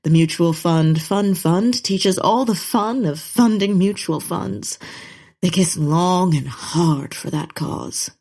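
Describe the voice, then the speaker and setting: A woman's voice: lowish voice, slow, dark tone. A woman playing a righteous, melodramatic lady naturalist poet in a comedic period audio drama. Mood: righteous passion. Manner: solemn, impassioned, dramatic.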